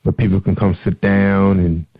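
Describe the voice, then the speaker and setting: A man hosting a podcast interview, conversational and quick to affirm his guest. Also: deep voice, flat delivery, no room sound. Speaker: podcast host. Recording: dull lo-fi audio.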